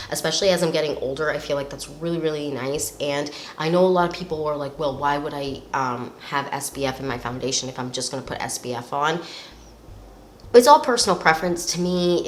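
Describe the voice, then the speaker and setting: A young woman with a slightly nasal voice from a cold, chatting casually and rambling with lots of fillers while reviewing products. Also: low voice. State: recovering from a cold. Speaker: beauty vlogger. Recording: echoey room with faint noise.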